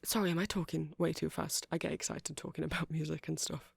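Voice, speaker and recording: A man's voice: fairly high pitch, fairly quick, vocal fry, husky, no echo, heavily acted. A man playing a nerdy vampire who keeps a music shop, rambling eagerly and apologetically in a casual ASMR roleplay. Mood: eager, helpful. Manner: hesitant, apologetic, excitable rambling.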